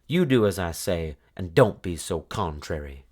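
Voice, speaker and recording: A man's voice: sits low, lively intonation, normal speed, a little mellow. A man voicing a scheming, short-tempered mother in a children's story, commanding and speaking in rural dialect. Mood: angry.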